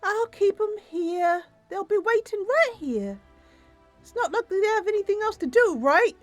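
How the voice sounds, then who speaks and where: A woman's voice: very high voice, expressive, unhurried, faint music behind, pitched above the performer's own voice. A woman voicing a petulant, distrustful female nixie, a little fae who complains and haggles in a tabletop-style game roleplay. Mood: sulky. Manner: sulky, complaining, bargaining.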